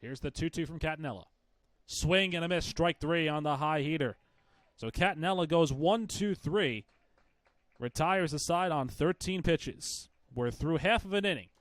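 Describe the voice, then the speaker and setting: A man's voice: a little high, normal speed, dry recording. A man calling a baseball game live as play-by-play commentator.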